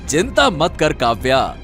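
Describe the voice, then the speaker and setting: A man's voice: medium pitch, a bit bright, full character voice. A man playing a cruel, greedy elder brother who schemes with a sneering, theatrical delivery in a cartoon story. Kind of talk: Hindi cartoon moral story acting.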